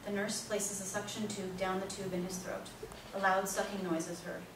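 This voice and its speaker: A woman's voice: mid-range voice, level tone, normal speed. A woman reading stage directions as scripted narration for a play reading. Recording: echoey room, distant mic, noisy.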